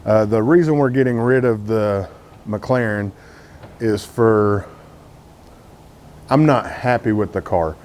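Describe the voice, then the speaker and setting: A man's voice: fairly low pitch, takes it slowly, pauses often, a little mellow. A man from Texas with a Texan accent, talking casually to camera as a car vlogger, candid and rambling with lots of "uh" fillers.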